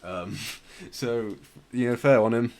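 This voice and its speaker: A man's voice: medium pitch, slow pace, darkish tone. A man hosting a podcast, explaining things in casual chat. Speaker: podcast host.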